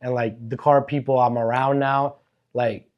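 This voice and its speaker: A man's voice: medium pitch, flat delivery, normal speed, lo-fi sound. American male podcast guest, conversational, informal, bro-and-dude slang. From born in Karachi, Pakistan, grew up in South Florida. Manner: slangy, says man, bro and dude often. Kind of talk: casual conversation.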